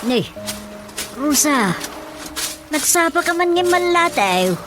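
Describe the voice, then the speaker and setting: Sex: woman acting rural mother. Pace: slow, high-voiced. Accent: Ilocano. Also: very animated, full character voice. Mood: calm. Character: rural mother, wife waking at night, questioning. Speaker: radio drama actor. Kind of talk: Ilocano radio drama acting. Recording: music playing behind the voice.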